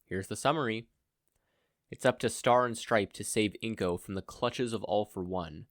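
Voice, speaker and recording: Story narrator, scripted reading, medium pitch, animated, dry recording. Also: moderate pace, dry recording. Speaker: fan fiction audiobook narrator.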